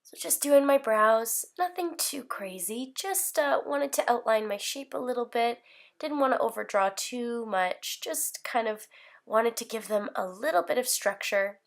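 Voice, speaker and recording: A woman's voice: on the high side, measured pace. A woman in her twenties with a slight cold, talking through a makeup tutorial in a casual, upbeat, rambling way. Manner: chatty, upbeat, rambling with fillers. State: hoarse from a cold. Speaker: beauty vlogger. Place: bedroom filming setup.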